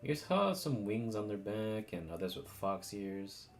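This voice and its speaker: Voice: low-pitched, lively intonation, unhurried. A game streamer making casual, wry and blunt side comments on a noisy mic.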